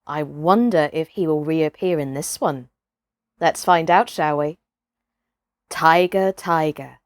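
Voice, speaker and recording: A woman reading a children's story aloud as a storyteller, with a deliberate, dramatic delivery. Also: sits low, no room sound, clean studio sound. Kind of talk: expressive children's audiobook narration.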